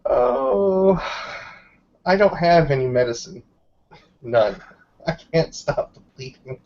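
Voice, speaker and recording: A man's voice: fairly high pitch, big pitch swings, slow pace, vocal fry, a little mellow. A man playing a tabletop roleplaying game, chatting casually and swearing freely as a player. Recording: dull, lo-fi voice recording.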